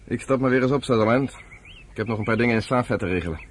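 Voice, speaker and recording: An actor playing a busy, brisk underground organiser in a Dutch radio play. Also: male voice, medium pitch, slight background noise, natural voice. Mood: businesslike.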